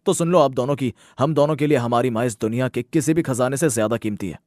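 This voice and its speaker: A man's voice: mid-range voice, fast talker, a little mellow, no room sound, clean studio sound, close to an everyday voice. A man voicing an earnest, dutiful grown son and exasperated husband, speaking emphatically in a Hindi moral story cartoon. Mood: firm, defiant.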